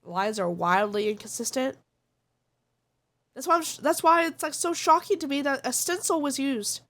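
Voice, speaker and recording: A woman's voice: high-pitched, expressive, normal speed, a bit bright. A congested woman streamer chatting casually and giving blunt, opinionated takes. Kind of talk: casual live-stream chat.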